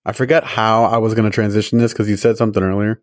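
A man's voice: fairly low pitch, little pitch movement, rapid-fire, no room sound, clean studio sound. A young man chatting casually as a podcast host, rambling and losing his train of thought. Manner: rambling, loses train of thought, many fillers. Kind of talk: casual chat.

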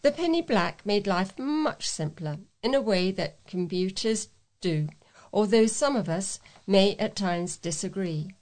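British female volunteer reader, mid-pitched, for a local talking newspaper's letters segment. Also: expressive, measured pace, a little mellow. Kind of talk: reading readers' letters aloud.

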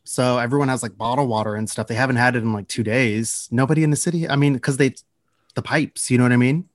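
A man's voice: medium pitch, steady tone, fast talker. A man hosting a podcast in casual banter, with a playful, teasing manner. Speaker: podcast host.

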